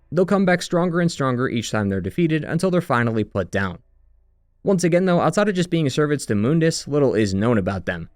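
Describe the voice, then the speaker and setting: A man's voice: medium pitch, dry recording, studio quality. A man giving a scripted narration for a video game retrospective.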